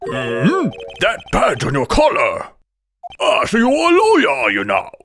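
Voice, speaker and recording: A man's voice: high voice, wildly swooping pitch, a little slow, fairly bright tone, soft background music, exaggerated voice. A man voicing a pompous, blustering older male lawyer in a video game fan dub, theatrical, evasive and old-fashioned in his phrasing. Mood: pompous, imperious.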